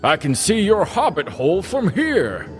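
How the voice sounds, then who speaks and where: Casting: man to play kindly old wizard, higher-pitched, grand and earnest, fantasy parody audio, light music underneath. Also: wildly swooping pitch, exaggerated voice. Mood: wry, solemn. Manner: grand, drawn-out storybook phrasing. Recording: music playing behind.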